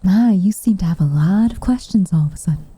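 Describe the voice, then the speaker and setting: A woman voicing a guarded, secretly tender tsundere witch in soft, often whispered ASMR roleplay, with coy, hesitant, wryly formal phrasing. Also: lowish voice, expressive, fast talker, slightly rough, no room sound. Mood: guarded, wistful.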